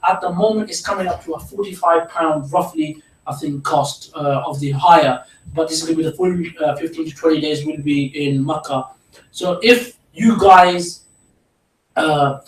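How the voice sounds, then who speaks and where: Man, tour operator at a seminar, fairly high voice, lots of uh fillers, heard from across a roomy hall. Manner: loose and conversational, many "uh" fillers and restarts. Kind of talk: informal seminar talk answering questions. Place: seminar room. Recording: echoey room picked up from a distance.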